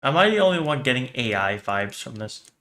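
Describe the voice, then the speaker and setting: A man's voice: mid-range voice, big pitch swings, a little fast, sharp tone. A man giving casual, off-the-cuff reaction commentary while chatting to his livestream audience.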